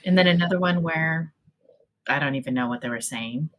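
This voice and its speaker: A woman's voice: low-pitched, fairly quick. A woman chatting casually as a panelist, offhand and dryly nonchalant. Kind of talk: casual chat.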